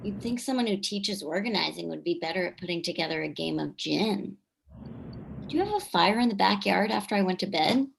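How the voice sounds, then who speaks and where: A woman's voice: on the low side, animated, fairly quick, vocal fry, husky, full character voice, rough recording. An actress playing a blunt, needling woman housemate in a staged play reading.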